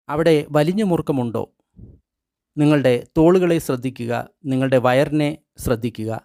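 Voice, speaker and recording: A man reading guided meditation instructions in short, measured phrases with pauses between them. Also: a little high, darkish tone, no room sound, studio quality. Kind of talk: guided meditation, scripted instruction read aloud.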